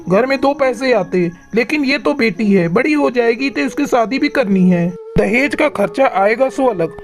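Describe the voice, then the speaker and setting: A woman's voice: fairly high pitch, a little mellow. A woman voicing a callous, grumpy male bird in a cartoon moral story, scolding and complaining. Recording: music behind the voice.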